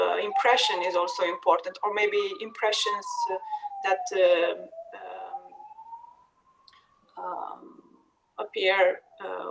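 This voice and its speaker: Female translator on a panel, gravelly fry in the voice, slow and hesitant with frequent pauses. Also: mid-range voice, background noise. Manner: halting, hesitant delivery with many pauses. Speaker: poetry translator. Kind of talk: panel discussion, explaining working method.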